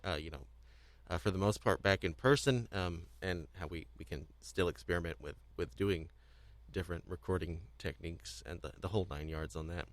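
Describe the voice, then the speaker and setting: A young man hosting a casual podcast discussion, speaking in a halting way and often repeating words as he goes. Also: low voice, no echo. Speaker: podcast host.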